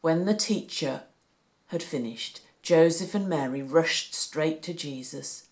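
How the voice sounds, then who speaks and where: A woman reading a Bible story aloud for children, with a deliberate, animated storytelling delivery. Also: low voice.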